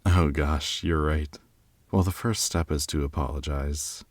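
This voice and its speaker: A man's voice: rumbling low, steady tone, unhurried, dry recording. A man playing a polite, reflective and charming diplomat candidate in an audio drama, speaking in a courteous, thoughtful way. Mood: earnest, contrite. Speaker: job candidate. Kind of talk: audio drama acting, conversational interview answers.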